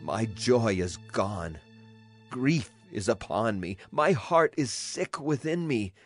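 A man's voice: mid-range voice, expressive, takes it slowly. A man acting a solemn prophet who speaks for a grieving, wrathful God in a dramatized scripture reading, declamatory in delivery. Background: music behind the voice.

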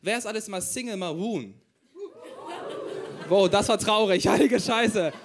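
A man's voice: high voice, measured pace, crowd noise, background noise. A young man from Ukraine doing a stand-up set into a handheld mic, chatty and self-mocking as he tells stories. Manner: chatty, self-mocking, storytelling with acted-out quotes. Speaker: stand-up comedian. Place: small crowded room on a stage.

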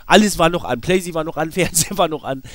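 High-pitched male let's player with a cold. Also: quick pace, no room sound. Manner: rambling, informal, slangy. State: slightly ill with a cold. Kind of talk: casual let's play commentary. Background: video game music.

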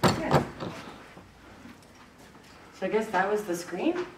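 Woman tagging along, lively pitch, far off, echoey and noisy. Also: slow pace. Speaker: exploring companion. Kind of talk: casual chat. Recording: roomy and distant with noise.

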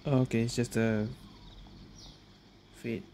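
A woman's voice: rumbling low, level tone, takes it slowly, dark tone, a little hiss or hum. A woman streaming a video game, casually reacting and commenting as it plays. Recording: game music behind the voice.

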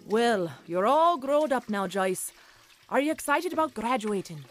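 An actress playing a kindly, plain-spoken Scottish aunt in a radio drama, homely and matter-of-fact. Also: female voice, a little high, lively intonation, unhurried. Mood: curious.

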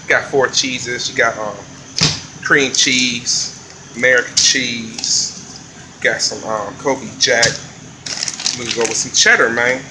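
A man, a chef filming a casual vlog in a commercial kitchen, talking in relaxed slang. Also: mid-range voice, noisy background. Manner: laid-back slangy hype talk. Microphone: handheld phone camera.

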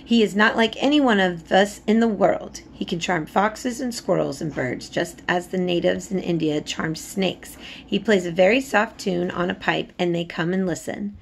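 A woman voicing an earnest, outspoken young girl in a storybook, explaining things in an animated way. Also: lowish voice, moderate pace, creaky, a little hiss or hum. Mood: enthusiastic.

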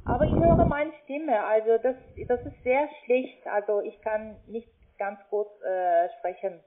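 High-voiced foreign-accented woman on the phone. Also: slight background noise. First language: not German. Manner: halting, non-native phrasing. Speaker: call-centre sales agent. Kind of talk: phone sales pitch. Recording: thin, band-limited phone call.

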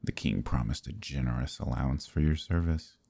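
A man playing a smug, possessive demon king villain in an intimate fantasy ASMR roleplay, taunting slowly and condescendingly in a gravelly, breathy voice. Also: very deep voice, mellow, full character voice. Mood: condescending, mock-pitying. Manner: slow, taunting, condescending purr.

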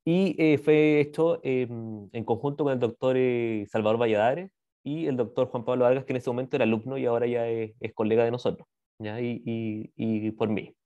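A man's voice: medium pitch, fairly even intonation, moderate pace, warm tone, dull audio. A middle-aged man with a Chilean Spanish accent, explaining a project as a university lecturer on a video call, hesitant and often adding tag questions. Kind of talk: explanatory slide presentation. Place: indoor room, on a video call. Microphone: video call microphone.

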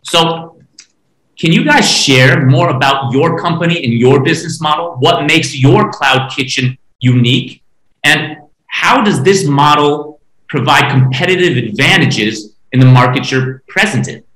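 A man from Taiwan moderates an online panel with a bright, forceful voice. He speaks from a roomy, echoing space over a video call. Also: on the high side, expressive, measured pace. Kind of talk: hosting an online panel discussion. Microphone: video call, laptop distance. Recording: echoey room on video call.

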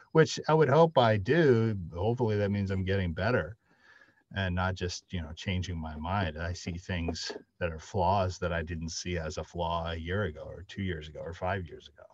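A man's voice: deep voice, moderate pace, no echo. A middle-aged man chatting casually as a panelist in a discussion. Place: indoor room with drop ceiling, on a video call. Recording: dull lo-fi video call audio.

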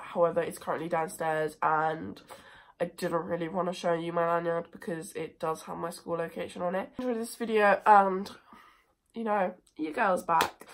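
Croaky-voiced teenage English girl with a cold. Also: fairly low pitch. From England. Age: teens. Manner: chatty, lively, self-mocking. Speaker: vlogger. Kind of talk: casual chat. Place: bedroom. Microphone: phone camera at desk distance.

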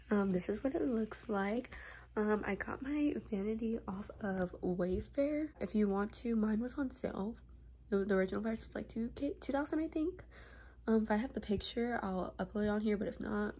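A woman's voice: medium pitch, expressive, moderate pace, a little mellow, slightly rough. Stuffy-nosed teen girl vlogger. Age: teens. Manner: chatty, rambling, lots of "like" and "um". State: stuffy runny nose. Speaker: makeup vlogger. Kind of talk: casual vanity tour vlog. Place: bedroom at home. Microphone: phone camera.

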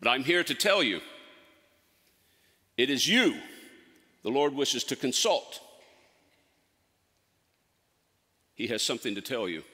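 A man performing a theatrical monologue as a solemn, commanding ancient prophet, speaking deliberately with dramatic pauses. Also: mid-range voice, very animated, sharp tone, husky, full character voice. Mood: grave, knowing. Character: prophet Isaiah, solemn, commanding, counseling a fearful king. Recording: echoey hall with long reverb.

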